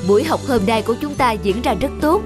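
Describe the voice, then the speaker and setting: A man voicing a calm, kindly schoolteacher in a children's cartoon, speaking in a measured, instructive way. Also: very high-pitched, music in the background.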